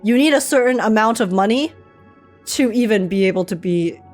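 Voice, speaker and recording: A woman's voice: on the high side, lively intonation, normal speed, darkish tone, faint music behind. A woman giving casual, slangy, joking reaction commentary as a game streamer. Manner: slangy, joking, frequently chuckling.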